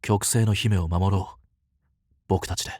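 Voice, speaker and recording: A man playing an easygoing, protective and resolute fantasy leader in a Japanese voice drama, with casual, gently teasing speech. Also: low voice, steady tone, a little slow, no room sound, studio quality. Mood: quiet resolve.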